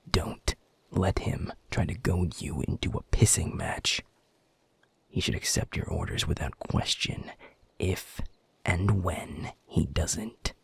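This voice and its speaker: A man playing a commanding, ruthless werewolf pack alpha in an audio roleplay, measured and authoritative, with a low, breathy, gravelly voice. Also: no room sound, full character voice.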